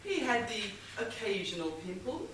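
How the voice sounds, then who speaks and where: A very echoey stage recording, picked up from a distance, of a woman acting a wealthy middle-aged widow in a comedy. She is theatrical and scatterbrained, with a lively swooping delivery and an air of evasive innocence. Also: a little high, normal speed, a crowd in the background. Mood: dramatic, flustered. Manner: airy, theatrical, mock-innocent. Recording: echoey theatre, distant mic, faint noise.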